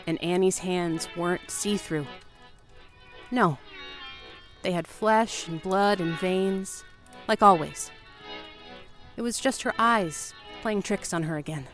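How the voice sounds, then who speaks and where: A woman's voice: mid-range voice, natural voice. A woman playing a frightened young woman desperately telling a story in a horror audio drama. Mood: uneasy, hushed. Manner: slow, haunted storytelling, breaking into pleading.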